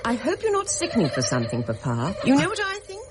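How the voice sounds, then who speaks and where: Animated, fussing mother. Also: on the high side, very noisy. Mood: concerned, fussing. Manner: brisk, gently scolding. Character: fussing, protective, brisk mother and concerned daughter. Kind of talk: radio drama acting.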